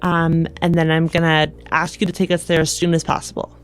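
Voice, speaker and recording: Lowish-voiced woman playing a tabletop game. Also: little pitch movement, fairly quick, clean tone. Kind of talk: casual in-character roleplay.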